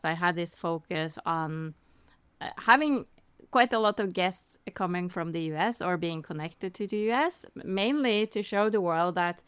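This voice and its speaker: A woman hosting a podcast interview who asks her guest questions in a friendly, halting way with fillers. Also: on the low side, smooth, no room sound. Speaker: podcast host.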